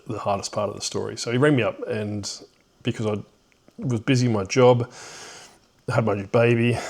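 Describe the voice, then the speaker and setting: A man's voice: fairly low pitch, creaky. A middle-aged man telling a personal story off the cuff in a reflective, hesitant way, with rueful chuckles here and there.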